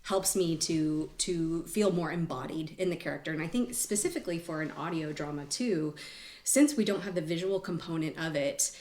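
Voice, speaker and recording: A woman with a slightly low voice talks in an echoey room. She is an actor guesting on a podcast and answers in a conversational way. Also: a little mellow. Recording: roomy, echoey indoor sound.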